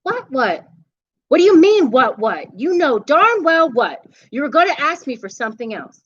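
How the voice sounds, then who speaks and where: A woman's voice: high-pitched, very animated, normal speed, pitched above the performer's own voice. Woman voicing a weary, exasperated bedtime buddy creature. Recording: dull lo-fi recording.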